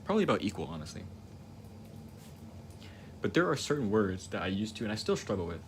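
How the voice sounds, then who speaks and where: A young man with a very deep, low voice talks casually while painting, with a stutter. He rambles and reflects, repeating words and circling back to restart his thoughts. Steady background noise runs under the recording. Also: quick pace. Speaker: livestreaming painter. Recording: noticeable ambient noise.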